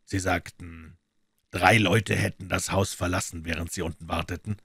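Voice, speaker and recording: A man voicing a calm, shrewd middle-aged detective who speaks in a measured, matter-of-fact way. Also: low voice, gravelly, slightly rough, dry recording, clean studio sound, exaggerated voice.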